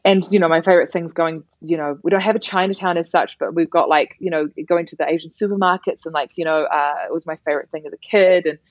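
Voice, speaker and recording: Chatty New Zealand woman, low voice. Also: fairly even intonation, quick pace, few pauses, dry recording, lo-fi sound. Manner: chatty, laughs often, many restarts. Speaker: guest, comedian. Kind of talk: casual podcast interview.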